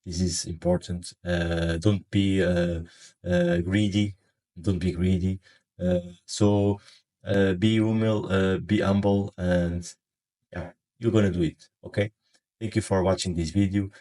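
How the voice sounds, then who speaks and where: Male trading coach, Portuguese accent, deep, level delivery, hesitant and filler-heavy. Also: level tone, warm tone. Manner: halting, many fillers and restarts. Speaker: forex trading educator. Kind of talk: casual advice monologue.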